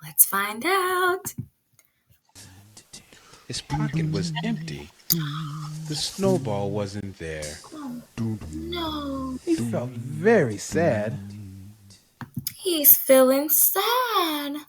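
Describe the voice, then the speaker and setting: Animated woman teacher reading aloud slowly. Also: medium pitch, background noise. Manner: expressive, asks young listeners guiding questions; drawn-out emphasis on key words. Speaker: pre-K teacher. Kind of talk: children's read-aloud lesson.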